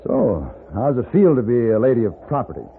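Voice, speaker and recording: An actor playing a cool, resourceful gunfighter in a 1950s radio western, speaking in clipped, matter-of-fact commands. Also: male voice, medium pitch, huge pitch swings, fairly quick, vocal fry. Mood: dryly grim. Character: cool, resourceful male gunfighter protecting a woman. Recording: noisy, tinny 1950s radio recording.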